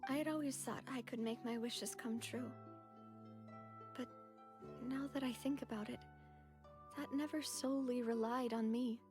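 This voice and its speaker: Woman playing a high-voiced, gracious young leader. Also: steady tone, normal speed, pauses often, over music, natural voice. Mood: grieving. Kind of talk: video game voice acting.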